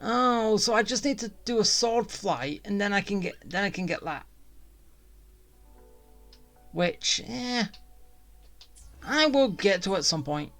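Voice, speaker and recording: A game streamer talking casually through gameplay with music playing behind. The delivery is rambling and a little grumbly, like someone thinking out loud. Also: medium pitch, animated, slow, long pauses. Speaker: gaming streamer.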